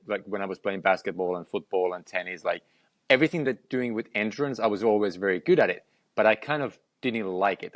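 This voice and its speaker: Reflective man, low and dry. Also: a bit bright, no echo. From Finland. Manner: reflective, repeats words as he thinks. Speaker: guest, health and lifestyle coach. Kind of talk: conversational podcast interview.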